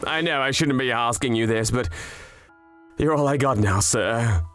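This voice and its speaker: An actor playing men in a grim manga revenge drama. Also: male voice, mid-range voice, normal speed, a bit bright, close to an everyday voice. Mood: pleading, grieving. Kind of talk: dubbed manga drama dialogue. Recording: music behind the voice.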